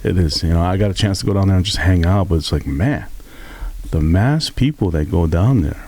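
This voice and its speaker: Relaxed halting man hosting a radio show. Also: sits low. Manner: relaxed, halting, many fillers and laughs. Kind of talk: casual talk show interview. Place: studio.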